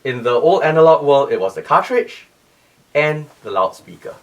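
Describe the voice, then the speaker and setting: A man's voice: on the high side, lively intonation, moderate pace, far from the mic, rough recording. A man being interviewed as an expert guest, explaining technical audio design emphatically and repeating key phrases. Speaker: loudspeaker company CEO, interview guest.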